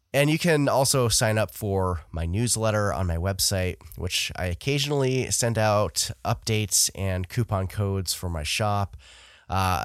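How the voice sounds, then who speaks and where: A man's voice: fairly low pitch, no room sound, clean studio sound. A man hosting his podcast in a casual, chatty way, sounding nasal and stuffed up from a cold. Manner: relaxed, chatty, frequent uh fillers. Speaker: podcast host. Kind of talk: casual solo podcast chat.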